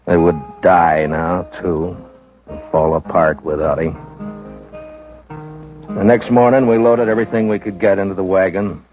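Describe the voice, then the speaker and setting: Deep-voiced steady frontier marshal. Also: male voice. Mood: somber. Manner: calm, measured, low-key authority. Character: grave, steady frontier lawman, middle-aged. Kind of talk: 1950s radio drama acting and first-person narration. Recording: tinny old radio transcription with faint music.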